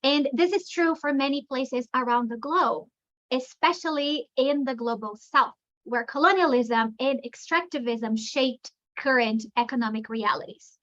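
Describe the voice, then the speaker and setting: A high, bright voice from a woman from Brazil whose first language is Portuguese, delivering a plenary lecture. She talks with eager enthusiasm and restarts her sentences often. The recording sounds dull and lo-fi. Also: a little slow. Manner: enthusiastic, conversational with frequent restarts. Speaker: plenary speaker. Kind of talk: academic lecture.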